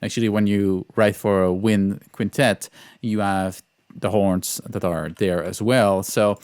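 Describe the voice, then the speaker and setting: A man giving an informal music tutorial as a composer, thinking aloud in a halting way. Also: fairly low pitch, steady tone, a little mellow, clean tone. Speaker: composer teaching orchestration.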